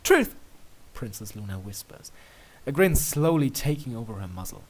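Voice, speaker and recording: Narrator for scripted fiction: mid-range pitch, expressive, widely varying melody. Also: normal speed, poor audio.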